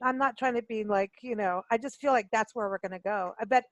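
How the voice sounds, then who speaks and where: A woman's voice: on the high side, quick pace, no room sound, dull audio. A woman hosting a casual radio interview, chatting in an informal, enthusiastic and slangy way. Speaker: radio show host.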